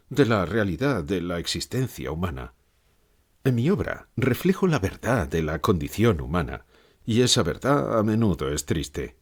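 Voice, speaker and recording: Man playing a deep, ironic, gloomy old philosopher. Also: slightly rough, heavily acted. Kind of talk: dramatized literary interview for an audiobook.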